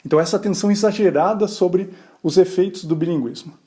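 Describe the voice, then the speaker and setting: Male podcast host from Brazil, slightly high, warm mellow voice, chatty explainer. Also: quick pace. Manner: conversational, rhetorical questions, frequent "né?" tags; brief voiced asides acting out other people. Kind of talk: explanatory solo podcast talk.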